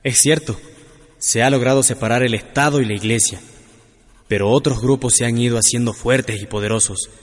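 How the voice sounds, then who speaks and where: A man's voice: mid-range voice, steady tone, a little fast, natural voice. An actor playing a worried, doubtful man confiding in a friend in a radio drama. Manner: troubled, questioning. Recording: reverberant room with piano music.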